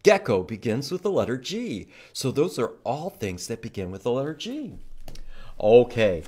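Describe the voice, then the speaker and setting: Male children's storytime host, medium pitch, animated intonation, simple repetitive teaching that invites kids to repeat. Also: normal speed, husky. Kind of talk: children's storytime reading and letter lesson, with some singing.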